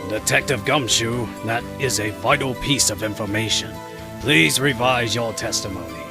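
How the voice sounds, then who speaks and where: A man's voice: mid-range voice, moderate pace, lightly acted. An actor playing a timid, easily flustered older male judge who stammers in a fan audio drama. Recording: voice over background music.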